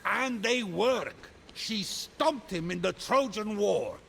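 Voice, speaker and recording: A man's voice: high-pitched, animated, slow pace, gravelly, a bit bright, slight background noise, heavily acted. An actor playing a vain, boastful father god in a video game, pompous and comically exclamatory. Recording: prominent music behind the voice.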